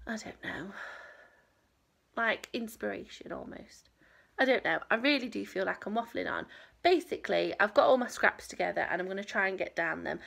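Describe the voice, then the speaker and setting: A woman's voice: mid-range voice, vocal fry, a bit bright. A woman in her thirties chatting casually to camera in a small home craft room, rambling and self-deprecating, sounding a little nasal from a cold. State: stuffy nose, nasal from a cold. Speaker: crochet vlogger.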